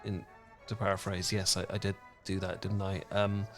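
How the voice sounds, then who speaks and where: A man's voice: low voice, level tone, soft background music, lightly acted. A man playing an awkward, anxious, smitten British dork in a romantic ASMR roleplay, stammering and apologetic as he goes. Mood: flustered, bashful. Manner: stammering, flustered, halting, apologetic.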